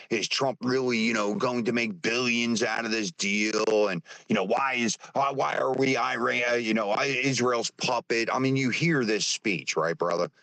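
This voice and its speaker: A man in his fifties giving his views on a live stream, halting and restarting with many fillers. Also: medium pitch, fairly bright tone, no echo, poor audio. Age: 50s. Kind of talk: casual opinion talk.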